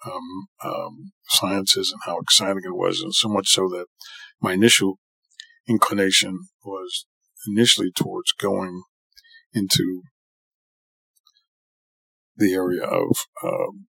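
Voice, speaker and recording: A man's voice: low voice, creaky, pushed and bright, breathy edge, no room sound, rough recording. A middle-aged man, telling his own story for a podcast in an earnest way, often rephrasing for emphasis. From New York City, United States. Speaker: podcast guest, surgeon and professor. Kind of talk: autobiographical monologue for a podcast.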